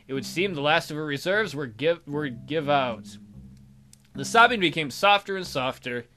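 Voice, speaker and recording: A man's voice: on the high side, expressive, normal speed, pushed and bright, soft background music. A man hosting a let's play, reading a visual novel aloud as dramatic narration with joking asides.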